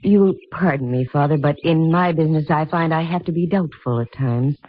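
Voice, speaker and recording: A woman's voice: low-pitched, normal speed, slightly rough. An actress playing a wry, composed female private investigator in a 1950s radio detective drama, speaking articulately. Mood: polite, probing. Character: dry, poised female private investigator, adult. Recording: scratchy, noisy narrowband old radio recording.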